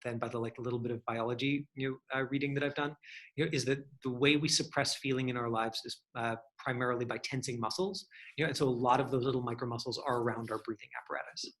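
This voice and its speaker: A man's voice: medium pitch, fairly even intonation, fast, fairly bright tone, rough recording. Man coaching in a casual, rambling way. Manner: rambling, encouraging, conversational. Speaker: acting coach. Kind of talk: casual online acting lesson with question and answer.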